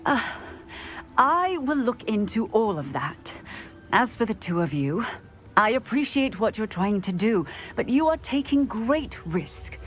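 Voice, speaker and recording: A woman's voice: on the high side, big pitch swings, measured pace, faint music behind. A woman voicing a firm, authoritative female officer in a video game cutscene.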